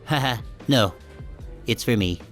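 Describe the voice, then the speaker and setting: Man playing a wry sheep narrator, medium-pitched. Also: unhurried, soft background music, close to an everyday voice. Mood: awkward, friendly. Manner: wry, deadpan, casual. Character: short, self-conscious, wry male sheep narrator. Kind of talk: first-person audiobook narration.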